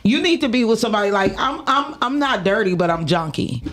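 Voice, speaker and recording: A woman's voice: medium pitch, expressive, brisk. A woman in her forties co-hosting a casual podcast, talking loosely with plenty of laughs and fillers like "like" and "um". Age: 40s. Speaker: co-host.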